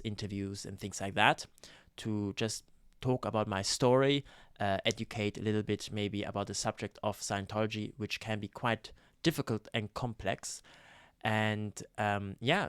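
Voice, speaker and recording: Guy in his 30s vlogging, kinda low smooth voice, dry sound, says darlings a lot. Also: no room sound. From Switzerland. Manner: gentle, campy, addresses viewers as darlings, hesitant with fillers. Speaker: YouTuber, ex-Scientologist. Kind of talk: personal testimony vlog.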